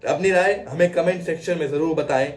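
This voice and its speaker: A man's voice: fairly high pitch, brisk, distant mic. A man delivering a scripted news explainer in a dramatic manner, posing rhetorical questions. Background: background music.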